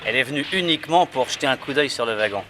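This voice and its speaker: An actor playing a wary, superstitious freight station chief in a French radio drama, talking gruffly and uneasily. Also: male voice, mid-range voice, lively intonation, rapid-fire, sharp tone, a little hiss or hum.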